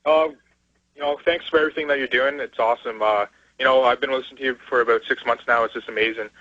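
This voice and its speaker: A man's voice: mid-range voice, fairly even intonation, quick pace, pushed and bright, no room sound. A man from Canada calling a radio show over a dull phone line, chatting casually about his opinions. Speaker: phone-in caller. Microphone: telephone.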